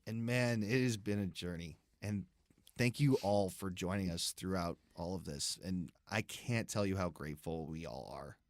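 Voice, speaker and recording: A man's voice: low voice, moderate pace, dry recording. Casual male podcast host, dry close sound. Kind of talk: casual chat and ad read.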